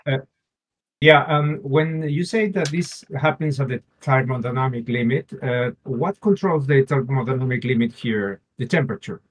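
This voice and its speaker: A man with a medium-pitched voice speaks casually as an attendee in a technical discussion, putting questions to the presenter. The recording carries faint background noise and some clicks. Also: moderate pace.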